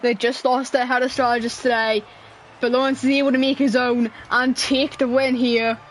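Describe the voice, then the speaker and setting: A woman giving excited sim racing commentary while losing her voice. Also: sits high, moderate pace, a little hiss or hum, rough recording. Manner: excitable, laughs at herself. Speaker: race commentator.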